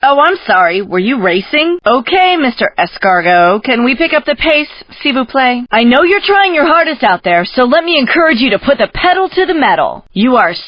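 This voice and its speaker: A woman's voice: high-pitched, sing-song, normal speed, hardly any pauses, dry recording, dull audio. A woman playing a sassy, upbeat race announcer in a video game, teasing the driver with playful sarcasm. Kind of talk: scripted video game commentary.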